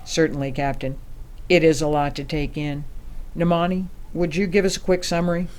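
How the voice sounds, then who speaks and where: A woman's voice: sits low, level tone, normal speed, darkish tone, natural voice. A woman playing a gracious, authoritative ambassador who leads a mission in a science fiction audio drama, courteous and businesslike. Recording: music under the voice.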